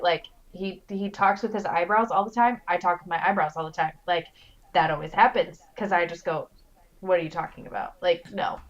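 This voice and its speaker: A young adult woman, a guest in casual chat, talking in an expressive, self-mocking way. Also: fairly low pitch. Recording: dull lo-fi mic.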